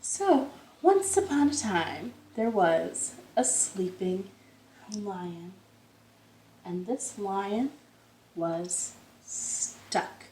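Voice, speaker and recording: Slow-paced woman storyteller in an echoey room. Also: mid-range voice, a little hiss or hum. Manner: expressive, drawn-out phrasing with gasps and direct questions to young listeners. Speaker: storytime host for young children. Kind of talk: read-aloud storytelling for children. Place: indoors, in a living room. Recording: roomy, echoey home room sound.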